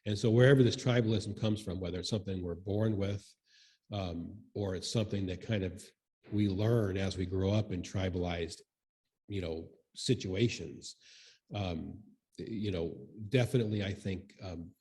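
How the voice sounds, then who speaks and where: A man giving informal, reflective comments in a group discussion, speaking in a halting, thoughtful and tentative way. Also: low-pitched, fairly even intonation, darkish tone. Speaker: congregation member commenting in church discussion.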